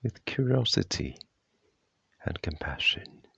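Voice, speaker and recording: A man guiding a body scan meditation with gentle, unhurried, measured phrasing and long pauses. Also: deep voice, big pitch swings, vocal fry, breathy edge, no echo, highs cut off. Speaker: meditation guide.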